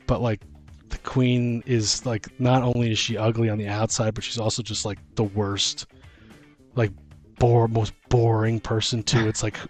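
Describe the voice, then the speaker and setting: A man's voice: mid-range voice, a little mellow. A man co-hosting a podcast in a casual, rambling, jokey way, acting out little bits of dialogue as he goes. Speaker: podcast co-host. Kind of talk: casual chat.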